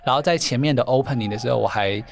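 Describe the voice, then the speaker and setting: Brief: young man, rattles along fast and chatty as an interview guest, mid-range voice. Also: mid-range voice, vocal fry, slight background noise. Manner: quick, chatty, rambling with restarts. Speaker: podcast guest, dating event founder. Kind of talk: casual interview chat.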